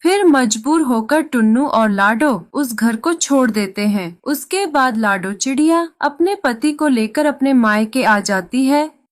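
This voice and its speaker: A woman's voice: sits high, animated, measured pace, no room sound, clean studio sound. A woman narrator reading a scripted moral story for a cartoon.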